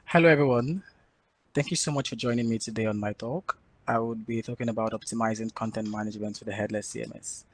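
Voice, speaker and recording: A man giving a prepared talk at an online developer conference, speaking in a steady, formal way. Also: lowish voice, fast talker, slight background noise, rough recording.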